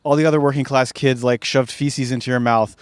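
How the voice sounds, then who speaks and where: A man with a mid-range voice and a slight hoarseness from a cough that is wearing off. He chats casually as a podcast co-host and teases his partner with dry humor. The recording is close and dry, with no room sound. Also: mid-range voice, fairly even intonation, a little fast, no room sound. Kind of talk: casual chat.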